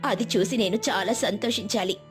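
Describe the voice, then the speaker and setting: A woman's voice: mid-range voice, moderate pace, faint music behind, lightly acted. A woman voicing a poor, hardworking village mother, tired and loving, in a moral storybook tale. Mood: loving, determined.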